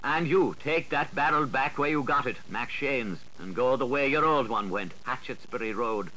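A man reading an audiobook, voicing a bossy street official who scolds and orders people along in sharp exclamations. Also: mid-range voice, normal speed, close to an everyday voice, low-quality recording. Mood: scolding, bossy. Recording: 1985 audiobook recording with audible background noise.

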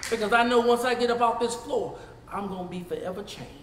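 A man in his fifties with a Southern US accent teaching a Bible study as pastor. He swings from shouting to low talk, recorded in a church sanctuary on a lapel mic. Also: fast talker, roomy sound, in a large hall, background noise. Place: church sanctuary, seated before a projection screen.

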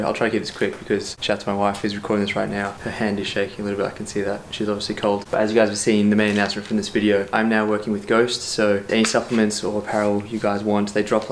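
A young man vlogging casually in a relaxed, chatty, self-mocking way, filmed on a camera mic under a house deck and indoors. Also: on the low side, slight background noise. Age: young adult. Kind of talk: casual vlog chat. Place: outdoor area under a house deck, then indoor room.

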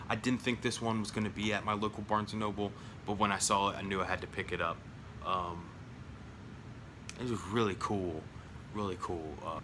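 A man in his thirties chatting casually at home about his film collection, rambling with lots of fillers and jokes, his voice going hoarse. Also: on the low side, background noise. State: losing his voice. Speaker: collection vlogger. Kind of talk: casual home video chat. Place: small room at home, indoors. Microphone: phone or webcam at arm's length.

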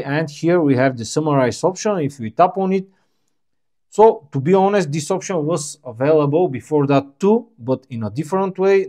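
A man whose first language is Bulgarian talks through a phone's settings at an easy pace. His voice is warm and low in brightness, his phrasing plain and a little halting, like a casual tech walkthrough. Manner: unhurried, plainspoken, slightly halting non-native phrasing. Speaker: tech reviewer.

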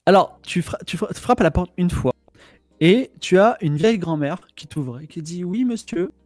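A man's voice: sits high, animated, moderate pace, a little mellow, no room sound. A man, narrating as game master, hesitant and full of fillers, at a studio mic at home. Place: home room with bookshelves. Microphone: headphones and studio microphone.

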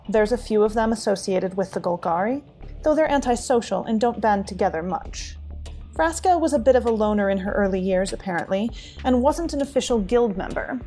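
A woman's voice: medium pitch, darkish tone, lightly acted. A woman playing a wary, well-informed informant in a fantasy audio drama, briefing formally and matter-of-factly. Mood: dry, guarded. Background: music.

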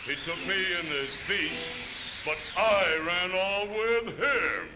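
A man playing a huge, boastful, swaggering sailor villain, gruff and booming, in rhymed 1930s cartoon style. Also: lively intonation, takes it slowly, rough recording. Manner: pompous, theatrical. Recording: old 1930s film soundtrack, loud music behind. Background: loud orchestral music.

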